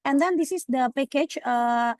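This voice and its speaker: Young Indonesian-accented woman, slightly high voice, dry close sound, halting presenter style. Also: a little mellow, dry recording. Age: young adult. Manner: hesitant, many fillers and restarts. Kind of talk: online orientation presentation.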